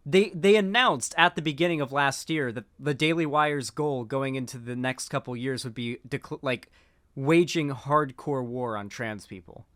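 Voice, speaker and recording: A man's voice: mid-range voice, normal speed, a bit bright, dry recording, studio quality. A man giving casual commentary on clips, rambling with frequent fillers and restarts. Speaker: political commentator.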